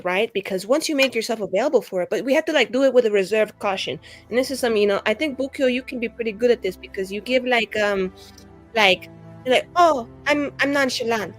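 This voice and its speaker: A woman improvises in character during a tabletop game. Her talk is casual and gossipy, packed with "like" and acted-out asides. Faint music plays behind her. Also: on the high side. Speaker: tabletop roleplaying player.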